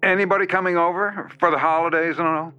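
A man's voice: a little high, fairly even intonation, fast, sharp tone, smooth, highs cut off, soft background music. A man playing a self-assured horror author in an audio drama, chummy and breezy with a faintly sinister, controlling edge. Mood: smooth, persuasive.

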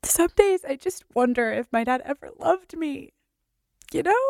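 A woman's voice: high-pitched, expressive, moderate pace, creaky, darkish tone, no echo, clean studio sound. A woman playing a defensive, oblivious daughter in an audio drama. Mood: wistful. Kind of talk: naturalistic audio drama acting.

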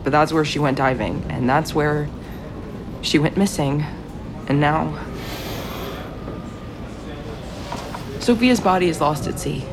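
A woman playing a guilt-ridden diver grieving an old friend, halting and confessional, in a naturalistic audio drama. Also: low-pitched, noisy background. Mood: grieving, strained.

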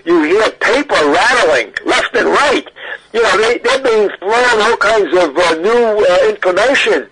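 An older man as an interview guest, reminiscing in a halting way as he thinks aloud. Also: high-pitched, expressive, noisy background. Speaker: guest archivist.

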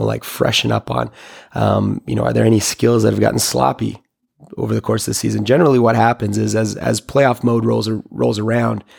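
A middle-aged man, a hockey coach, talking in a rambling, reflective way with a hoarse voice that is only just coming back. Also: fairly low pitch, a little mellow. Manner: rambling, many fillers and restarts. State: hoarse, recovering lost voice. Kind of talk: casual reflective monologue.

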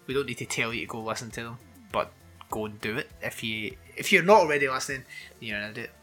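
A man co-hosting a podcast, teasing his co-host with quick asides and laughing as they chat. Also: mid-range voice, a bit bright, soft background music. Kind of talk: casual chat.

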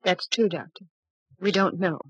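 A woman's voice: lowish voice, a little slow, husky, no room sound, poor audio. An actress playing an anxious, defiant young working woman in a melodramatic old-time radio drama. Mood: nervous, earnest. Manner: excitable, emphatic.

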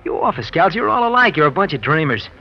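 A brash, smooth-talking American gambler from a 1940s radio drama. He cajoles and needles in slangy phrases, his pitch jumping around freely. The sound is muffled, lo-fi vintage radio. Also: sing-song, fast. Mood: mocking needling. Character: smooth-talking, brash male professional gambler, pushy charmer. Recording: dull, lo-fi old radio recording.

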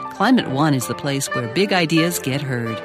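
A woman's voice: low-pitched, expressive, moderate pace. A woman announcer reading a polished, upbeat scripted promo voice-over. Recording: voice-over over music.

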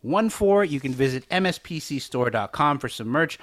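A man's voice: fairly high pitch, lively intonation, moderate pace, a bit bright. A man co-hosting a podcast, talking casually in a polite, appreciative manner. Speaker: podcast co-host. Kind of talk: casual podcast chat with sign-off. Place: recorded over video chat.

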